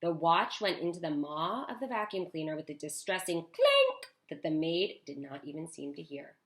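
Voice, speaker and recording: A woman teacher reading a storybook aloud to children, expressive, with chatty asides. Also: low-pitched, normal speed. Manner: animated, with chatty asides to young listeners.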